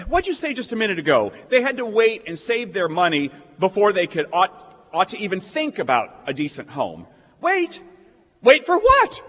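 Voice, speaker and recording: Earnest young small-town idealist played by a male actor, high and swooping, impassioned radio-play delivery. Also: fairly bright tone, heavily acted. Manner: impassioned, stammering, folksy speech. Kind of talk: staged old-time radio play acting. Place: theatre stage. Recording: reverberant stage hall recording.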